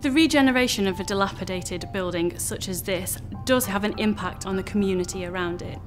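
Woman interviewee, mid pitch, heartfelt and emphatic, light music behind. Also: brisk. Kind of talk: documentary interview.

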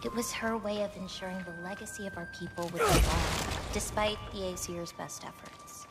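A woman's voice: medium pitch, normal speed, natural voice. An actress playing a confident, playful young giant girl in a video game. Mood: calm, earnest. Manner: playful, teasing. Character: spirited, confident teenage giant girl, playful yet guarded. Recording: loud game music behind.

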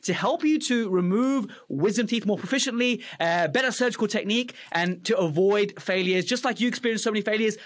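A man in his thirties hosts a podcast interview with lots of enthusiasm, talking with his hands. His voice sits high and quick, and he is recorded close on a boom-arm studio mic in a small indoor home studio. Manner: enthusiastic, expressive, gestures while talking. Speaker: podcast host.

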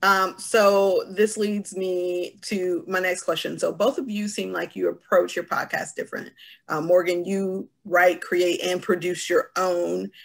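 Woman, thirties, heavy vocal fry, husky. Casual panel moderator on a video call. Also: fairly low pitch, little pitch movement, a little slow. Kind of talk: casual moderating, relaying audience questions. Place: room at home, indoors.

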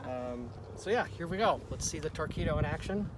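A man in his fifties explaining things in a relaxed, casual way outdoors by the water. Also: a little high, a little fast. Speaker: sailing vlog co-host. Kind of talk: casual chat. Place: outdoors at a dock and in a dinghy. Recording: wind and water noise.

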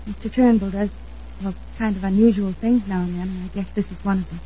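An American actress playing a polite, earnest young fiancée in a gentle, hesitant 1950s radio drama style. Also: female voice, medium pitch, fairly even intonation, a little fast, close to an everyday voice. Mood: polite, guarded. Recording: dull, noisy old radio recording.

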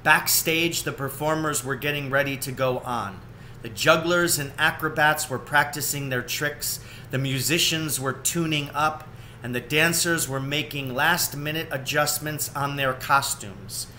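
A man's voice: on the high side, measured pace, bright, forceful tone, a little hiss or hum. A man in his forties reading a children's storybook aloud, recorded indoors at home on a webcam.

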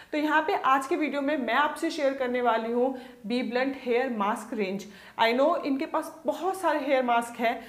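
A young woman hosting a beauty channel, chatting through a sponsored hair product review and mixing English into Hindi. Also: high-pitched. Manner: chatty, mixes English into Hindi, reads product names slowly. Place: indoor room with lamp and plants. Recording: echoey room.

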